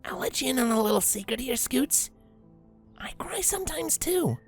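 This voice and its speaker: Woman voicing a cocky, caring pegasus mare, lively. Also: high voice, normal speed, faint music behind. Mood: reassuring. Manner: casual, reassuring, a touch cocky. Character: confident, playfully cocky, caring adult female pegasus pony. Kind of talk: audio storybook dialogue.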